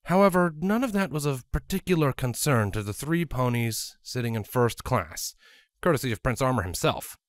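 Male story narrator, mid-pitched and animated, very dry close sound. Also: no echo. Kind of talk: scripted fan fiction story narration.

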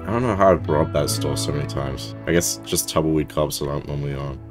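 A man's voice: extremely low pitch, fast, music in the background. A man playing a polite, rueful outlaw in an improvised western game roleplay, hesitant and mock-courteous. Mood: wry. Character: polite, sheepish outlaw store robber in a western. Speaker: online roleplay player.

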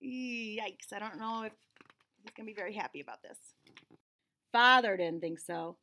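A woman in her forties giving an expressive, dramatized storybook read-aloud for children in a small room. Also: medium pitch, moderate pace. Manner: animated, clearly dramatized for children.

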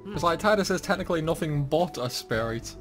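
Lively man doing game commentary. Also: a little high, brisk. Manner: chatty, playfully talks to the game's characters. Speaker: gaming video host. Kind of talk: casual game playthrough commentary. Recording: music behind the voice. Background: game music.

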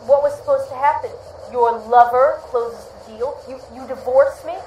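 A woman's voice: sits high, poor audio. A woman playing an entitled, impractical rich spouse in a radio play, blustering and petulant, stammering when flustered. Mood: indignant, then incredulous. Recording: clear background vehicle ambience noise.

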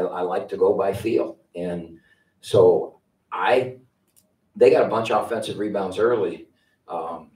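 A man's voice: deep voice, dark tone. An older man, a head basketball coach answering post-game press questions in a blunt, rambling way, often repeating words as he restarts. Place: press conference room with sponsor backdrop. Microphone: table mic, heard from a distance. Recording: echoey room, distant mic.

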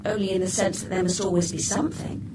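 A poised, playfully sly female artificial intelligence, acted by a woman in a radio play. She speaks in formal, exact, measured phrases. The sound is roomy and a little distant, with clear noise behind her. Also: medium pitch, fairly even intonation, close to an everyday voice. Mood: composed, cool. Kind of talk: radio drama acting.

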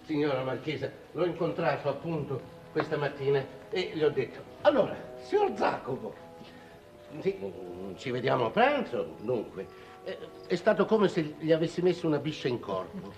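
An actor playing a garrulous, fussy dinner guest in a 1950s Italian period drama, telling tales theatrically and haltingly. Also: male voice, on the high side, very animated, vocal fry, a little mellow, faint music behind, rough recording. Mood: amused storytelling. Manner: halting, theatrical storytelling with mimicry.

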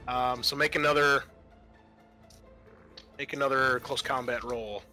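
Guy game mastering, bright forceful voice, kinda halting explanations, music behind him. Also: medium pitch, steady tone. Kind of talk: casual tabletop game refereeing and narration.

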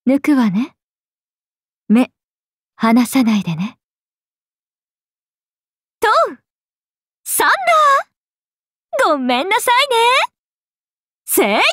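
A woman's voice: high-pitched, big pitch swings, drawn-out words, long pauses, a bit bright, no echo, studio quality, heavily acted. A woman voicing a playful, teasing, carefree oni goddess in an anime game, with a casual, airy drawl. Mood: playful, breezy, then spirited attack. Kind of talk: Japanese anime game voice acting.

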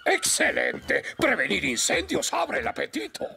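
Cartoon casting: pompous older fire chief, Latin American Spanish, gravelly, wildly swinging pitch, music underneath. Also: male voice, huge pitch swings, unhurried, full character voice. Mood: stern, blustering. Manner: blustering, pompous. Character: stern, flustered older male fire station officer. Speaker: fire station officer. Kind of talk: animated children's series dub.

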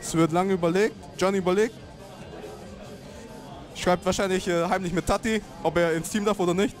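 A man's voice: sits high, fairly even intonation, moderate pace, faint music behind. A young man, commentating a card game tournament in a laid-back, slangy way.

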